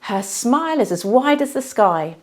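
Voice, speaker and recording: Chirpy little storybook townsfolk, voiced by a woman reader, pitched up and very lively. They gush proudly like eager small children. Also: moderate pace.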